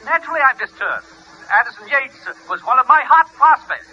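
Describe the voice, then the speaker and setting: A fussy, self-important chairman in a vintage radio comedy. His voice is extremely high and piercingly bright. He stammers and corrects himself insistently, on a muffled old broadcast. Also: lively intonation, fairly quick, slight background noise, exaggerated voice. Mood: flustered, indignant. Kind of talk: 1940s-50s radio comedy acting.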